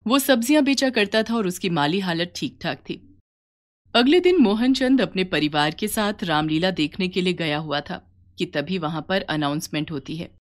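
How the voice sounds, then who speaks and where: A woman's voice: lowish voice, expressive, moderate pace. A woman reading scripted story narration as the narrator of a tale.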